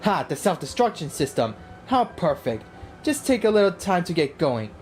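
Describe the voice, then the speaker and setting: A woman's voice: fairly low pitch, sing-song, normal speed, a little mellow, faint music behind, voice pushed down. A woman voicing a smug, self-righteous game villain who gloats and speaks pompously. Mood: gloating.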